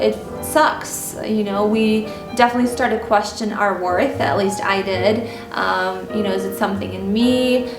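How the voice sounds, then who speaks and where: A woman's voice: medium pitch, far from the mic. A woman from Ukraine whose first language is Russian, telling personal stories to camera in a candid, conversational way with many fillers. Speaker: style and mindset vlogger. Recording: roomy echo with music bed.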